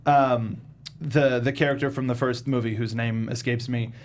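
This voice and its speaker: A man's voice: mid-range voice, fairly even intonation, moderate pace. A man hosting a casual film discussion, informal and often groping for his words. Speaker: talk show host.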